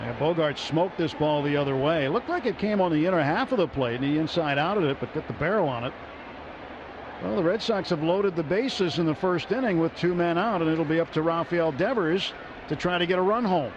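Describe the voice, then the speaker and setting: A man's voice: a little high, lively intonation, a little fast. An American man doing live radio play-by-play commentary of a baseball game. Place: stadium broadcast booth. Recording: dull radio broadcast, loud stadium crowd, noisy. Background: loud crowd and stadium noise.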